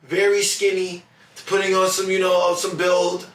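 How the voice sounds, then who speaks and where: A young man with a raspy, hoarse voice, chatting casually as a podcast co-host and leaning on "you know.". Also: high voice, monotone, normal speed, fairly bright tone. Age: young adult. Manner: laid-back, repeats "you know". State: hoarse after the holidays. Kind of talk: casual chat. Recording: roomy, distant mic, faint noise.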